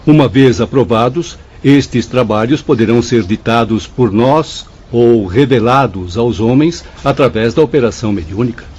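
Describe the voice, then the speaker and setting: An actor with a Brazilian accent playing a devout, encouraging spirit companion, earnest and consoling, in a radio drama. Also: male voice, medium pitch, normal speed, hardly any pauses, a little mellow, husky, lightly acted. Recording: muffled lo-fi track, ambient noise.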